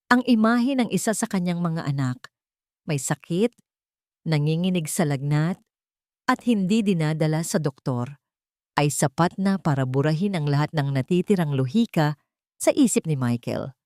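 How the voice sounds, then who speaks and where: A woman's voice: sits low, normal speed, darkish tone, no room sound, clean studio sound. A woman narrator reading a scripted dramatic story.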